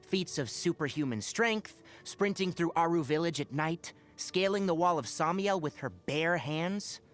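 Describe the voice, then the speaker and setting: A man's voice: on the high side, expressive, measured pace, fairly bright tone. A man voicing an earnest, wry young forest ranger scholar in a video game, speaking in an articulate, thoughtful, caring way. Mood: wry, dismissive. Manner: articulate, thoughtful, dryly opinionated. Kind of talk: scripted game character monologue.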